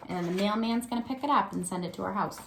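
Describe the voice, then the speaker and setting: A woman's voice: medium pitch, quick pace, vocal fry, a little hiss or hum, low-quality recording. A woman teacher giving a read-aloud lesson to young children, explaining simply with encouraging questions and frequent check-ins. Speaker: elementary school teacher.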